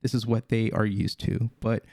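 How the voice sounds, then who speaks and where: Gravelly man reading crypto news. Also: on the low side, flat delivery, warm tone, dry recording, studio quality. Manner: halting, with fillers and restarts. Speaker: crypto video host. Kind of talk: reading an article aloud with commentary.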